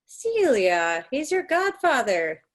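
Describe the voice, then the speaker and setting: A woman's voice: sits high, sing-song, takes it slowly, heavily acted. A woman playing a stoic, controlling middle-aged American mother in a play reading, speaking in a firm, insistent way. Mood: exasperated, stern. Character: stoic, controlling 48-year-old American mother, curt and short-tempered.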